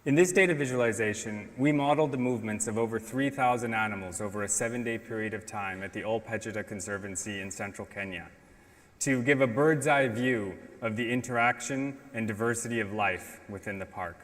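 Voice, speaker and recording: Man, middle-aged, prepared stage talk, deliberate pauses, long hall reverb. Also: lowish voice. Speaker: conference speaker. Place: large indoor auditorium stage.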